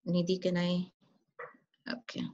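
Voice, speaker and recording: A woman teaches a writing session over a call. She speaks in a relaxed, conversational way and often stops to ask the group questions. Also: on the low side, a little slow, highs cut off. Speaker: writing workshop leader. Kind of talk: online workshop presentation.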